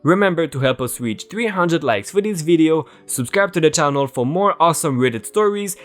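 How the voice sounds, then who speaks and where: A man narrating Reddit stories aloud in a lively, sing-song reading voice. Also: a little high, brisk, soft background music. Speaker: story narrator.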